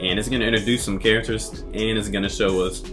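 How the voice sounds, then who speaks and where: Lowish male commentator over music. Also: steady tone, quick pace. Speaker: video essayist. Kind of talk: casual analytical commentary.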